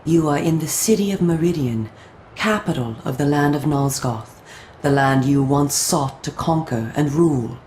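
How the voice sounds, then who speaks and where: An actress voicing a solemn, coolly persuasive female vampire resistance agent in a video game, speaking in a formal, measured, theatrical way. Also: low voice, a little mellow, reverberant, a little hiss or hum, close to an everyday voice. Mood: grave, expository. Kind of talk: scripted video game cutscene acting.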